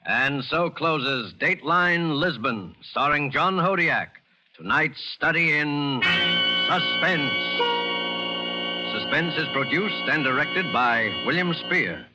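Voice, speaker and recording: A man announcing a scripted 1940s radio program in a dramatic, deliberate style. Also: on the high side, animated. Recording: dull vintage radio, loud music behind. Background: loud music.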